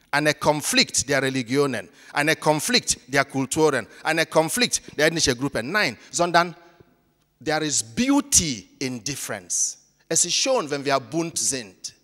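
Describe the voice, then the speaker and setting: Animated Nigerian priest in a hall. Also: male voice, a little high. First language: Igbo. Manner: animated, gesturing, switching into English phrases. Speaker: priest and panel guest. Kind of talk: lively panel talk. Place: large hall on stage. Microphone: handheld mic. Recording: reverberant hall.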